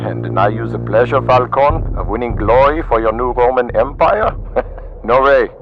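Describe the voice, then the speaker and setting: A sardonic German tank commander played by a man in a wartime video game. He speaks in clipped, mocking military phrases at a middling pitch. The sound is dull and lo-fi, with prominent music behind it. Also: normal speed, lightly acted. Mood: dry mockery. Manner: dry, mocking, clipped military radio talk. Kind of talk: video game cutscene acting.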